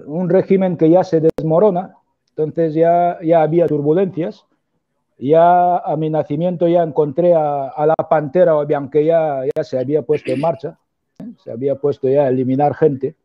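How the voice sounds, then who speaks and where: A man in his forties from Equatorial Guinea, speaking earnestly as an interview guest over a video call, with many fillers and tag questions. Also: on the high side. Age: 40s. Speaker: guest, opposition politician. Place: indoor room at home. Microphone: earphone headset on video call. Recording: tinny video-call audio.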